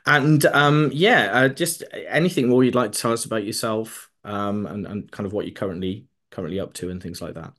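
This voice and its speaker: A man with a fairly low voice hosts an interview show. He reads his introduction smoothly, then turns halting and hesitant, circling back through fillers and false starts as he asks his questions. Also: fairly bright tone. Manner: hesitant, many restarts and fillers when unscripted. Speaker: podcast host.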